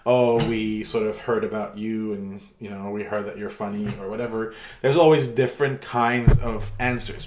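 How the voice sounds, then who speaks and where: Chatty lecturer from Turkey, roomy echo. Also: male voice, lowish voice. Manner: relaxed, chatty, many fillers. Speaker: university lecturer. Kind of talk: pre-recorded online lecture. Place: home room. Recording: echoey room.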